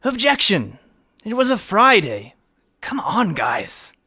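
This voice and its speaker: A man acting as an earnest hotshot prosecutor in a courtroom comedy. He questions formally with exasperation, his pitch moving widely, recorded dry with no room sound. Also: huge pitch swings, slow pace, sharp tone, slightly rough, no echo, exaggerated voice. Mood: exasperated.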